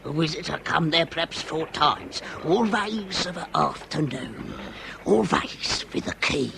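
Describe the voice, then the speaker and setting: A servile old Victorian informer in a radio comedy. His voice sits fairly high, and he dresses rough grammar in flowery phrases over clear background noise. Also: expressive, measured pace. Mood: sly, confiding. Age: older. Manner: florid mock-genteel turns of phrase in uneducated grammar. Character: wheedling old male Victorian street informer, seedy and servile. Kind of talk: period radio comedy drama.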